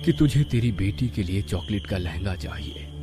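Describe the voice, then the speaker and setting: A man playing a majestic god in a story, calm, solemn and commanding. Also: on the low side, over loud music, natural voice. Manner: slow, solemn, measured. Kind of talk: mythic story acting.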